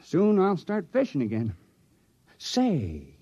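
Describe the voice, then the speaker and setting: Gravelly, rueful village fisherman. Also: fairly high pitch, wildly swooping pitch, slow pace, warm tone, full character voice. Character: sheepish, plain-spoken village fisherman, failed criminal. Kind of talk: 1950s radio drama acting. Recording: dull old radio recording.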